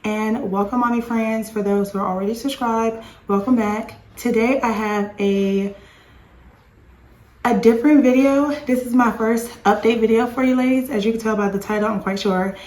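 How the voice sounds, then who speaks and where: A woman in her thirties, slightly hoarse, chatting in a rambling homeschool vlog from her home. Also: a little high, fairly even intonation, moderate pace, darkish tone, reverberant, poor audio. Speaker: homeschooling mom vlogger. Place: home with dishwasher and dryer running.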